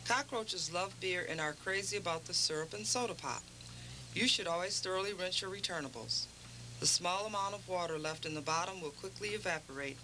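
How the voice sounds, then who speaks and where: A man's voice: high voice, sharp tone, a little hiss or hum, rough recording. A man reading a scripted radio ad in an earnest, informational manner. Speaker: radio ad announcer. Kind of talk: scripted ad read.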